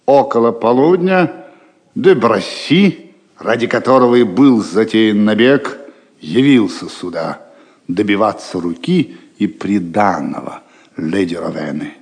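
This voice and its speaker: A man's voice: on the low side, expressive, measured pace. A man giving scripted literary narration as the narrator of an audio drama.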